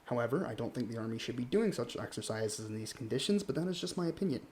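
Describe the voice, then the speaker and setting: Man playing local news anchor, average pitch, earnest, scripted bulletin delivery. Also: lively intonation, fast, a little mellow, a little hiss or hum, lightly acted. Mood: composed, earnest.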